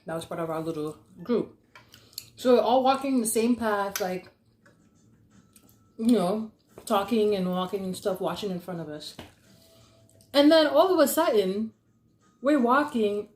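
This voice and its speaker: A woman's voice: a little high, lively intonation, a little slow, long pauses, a little mellow. Young woman just chatting through a story, slangy, does the quotes, echoey room. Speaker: storyteller, vlog host. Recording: roomy, echoey sound.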